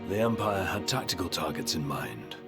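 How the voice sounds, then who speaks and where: A composed, refined game advisor. His low voice has lively inflection, and he words things precisely and formally. Light music sits underneath. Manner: precise, formal, measured wording. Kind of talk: English video game voice acting.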